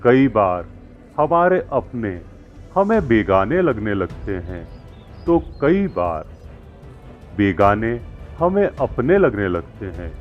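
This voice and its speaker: A wise holy man, played by a man, counsels in a slow, deliberate way with clear pauses. His mid-pitched voice rises and falls expressively as he teaches, in moral-tale style, over music on a slightly dull recording. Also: very animated. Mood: philosophical, instructive. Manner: measured, didactic, deliberate pauses. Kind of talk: acted moral-story dialogue. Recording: muffled lo-fi with music behind.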